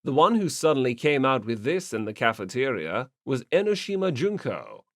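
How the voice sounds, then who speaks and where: A man's voice: mid-range voice, big pitch swings, normal speed, no echo. Man narrating an audiobook, close and dry. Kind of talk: scripted story narration.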